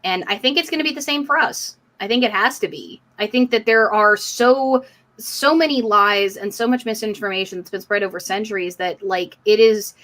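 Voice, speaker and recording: A woman's voice: mid-range voice, fairly bright tone. A woman hosting a live community discussion stream, speaking in an emphatic, outspoken, casual way. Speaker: livestream host.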